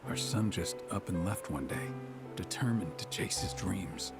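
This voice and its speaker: A humble middle-aged country dad from a video game speaks in a fairly low voice. He is plain-spoken and kindly, with music clearly audible behind him. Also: measured pace. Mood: wistful, apologetic. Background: game background music.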